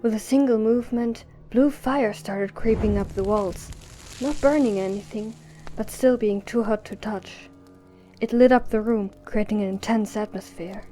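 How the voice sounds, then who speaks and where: A woman's voice: medium pitch, fairly even intonation, normal speed, mellow. A woman narrating an audio drama in a scripted storytelling style, with music behind her.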